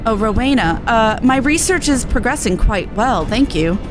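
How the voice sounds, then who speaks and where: A woman's voice: fairly high pitch, lively intonation, normal speed, over music, close to an everyday voice. A woman voicing an earnest, polite young male scholar in a game, speaking formally and precisely. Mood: courteous.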